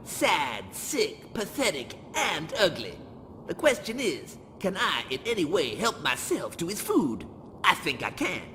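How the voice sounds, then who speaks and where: A vain, selfish cat-man for a comedy audiobook. The voice is high and animated, sly and exclamatory, with long reverb and faint noise. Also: a little slow, exaggerated voice. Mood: sly, scornful. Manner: exclamatory, self-satisfied.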